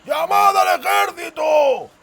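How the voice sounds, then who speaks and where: A man voicing a panicked miner shouting for help with frantic, strained yells in a fantasy audiobook. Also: very high voice, expressive, slow, creaky, some chatter behind, a little hiss or hum, voice pushed up, heavily acted. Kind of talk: audiobook character voice.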